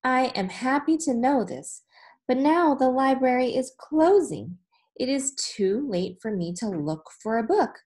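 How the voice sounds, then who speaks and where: A woman in her thirties, a teacher reading a storybook aloud to children with deliberate phrasing and expressive emphasis. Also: high-pitched. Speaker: elementary school teacher. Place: small indoor room with whiteboard.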